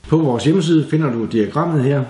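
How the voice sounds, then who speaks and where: A man's voice: on the high side, dark tone. Echoey Danish man giving a hands-on tutorial. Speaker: DIY presenter. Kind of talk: hands-on tutorial narration, casual. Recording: echoey room, faint background noise.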